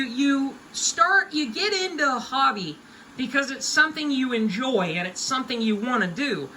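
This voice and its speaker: Bright, higher-pitched young woman ranting casually. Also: slight background noise, low-quality recording. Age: about 20. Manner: emphatic, folksy, colloquial. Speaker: hobby collector giving advice. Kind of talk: casual unscripted rant to viewers.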